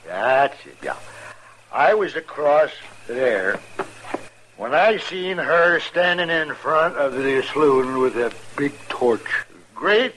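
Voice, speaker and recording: A sly, stammering frontier husband. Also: male voice, fairly high pitch, animated, fairly bright tone, slight background noise, rough recording. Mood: sly, insistent. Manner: halting, stammering, gloating rustic drawl. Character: sly, spiteful frontier husband, middle-aged man. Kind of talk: 1950s radio drama acting.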